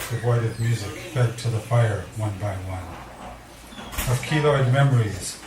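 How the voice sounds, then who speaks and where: A man giving a live poetry reading, speaking in a measured, deliberate way with dramatic pauses and casual introductions. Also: medium pitch, far from the mic. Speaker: poet reading at a poetry event. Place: indoor cafe venue before a live audience. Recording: echoey room recorded from afar, audience murmur.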